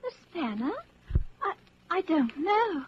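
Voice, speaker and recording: A woman's voice: extremely high pitch, very animated, takes it slowly, a little hiss or hum, full character voice. An actress playing a timid, fussy, apologetic housewife and mother in a 1940s radio drama, speaking hesitantly. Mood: flustered, apologetic. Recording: muffled 1940s radio broadcast.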